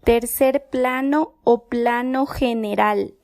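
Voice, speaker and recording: Female presenter for an audio demonstration. Unhurried, deliberate speech in a close, dry recording. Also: on the high side, fairly even intonation, a little mellow, no room sound.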